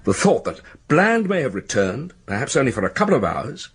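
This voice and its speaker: An actor playing a proud, stiff British academic in charge of an institution in a radio drama, speaking formally and self-importantly. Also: male voice, medium pitch, big pitch swings, a little fast, husky. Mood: grave, pained.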